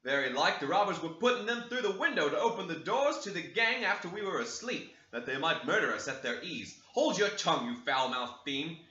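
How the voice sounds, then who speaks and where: A man voicing a rough male servant who shouts in alarm, in a dull echoey recording. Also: fairly high pitch, expressive, fairly quick, few pauses, voice pushed up. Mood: alarmed, blustering. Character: blustering male manservant, loud and rough.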